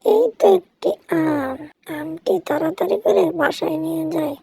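A woman's voice: very high voice, moderate pace, vocal fry, mellow, dry recording, faint music behind, heavily acted. A woman voicing a small, caring, hardworking mother bird in a cartoon. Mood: tired, worried. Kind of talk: cartoon voice acting.

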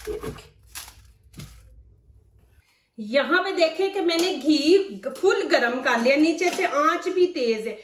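A woman's voice: sits high, lively intonation, measured pace, far from the mic, a little hiss or hum. Chatty woman teaching cooking in an echoey kitchen. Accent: Pakistani Urdu. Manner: chatty, instructive, frequent religious phrases. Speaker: cooking channel host. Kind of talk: casual cooking demonstration. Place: home kitchen.

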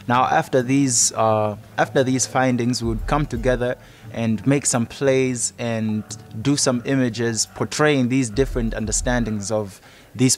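A man's voice: mid-range voice, a little hiss or hum. A man in his twenties with a Malawian accent, speaking as a program participant in a documentary interview. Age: 20s.

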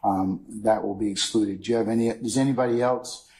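A man speaking as a judge in a courtroom, ruling in a halting, deliberate way. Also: lowish voice, steady tone, darkish tone, a little hiss or hum, poor audio. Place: large wood-paneled courtroom.

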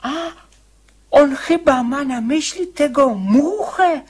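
A performer playing an anxious, deferential male convict in a radio drama, pleading and fretful, with a husky voice. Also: high-pitched, expressive, takes it slowly. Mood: eager, puzzled.

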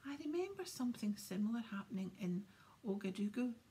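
A woman's voice: mid-range voice, big pitch swings, brisk, mellow, breathy edge. A woman voicing a vain, boastful male wizard with a pompous manner.

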